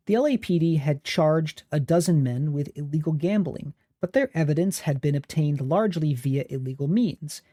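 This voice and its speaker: A man hosting a history podcast, reading scripted narration in a measured, analytical and critical way. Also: a little high, dark tone, dry recording, clean studio sound. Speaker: podcast host.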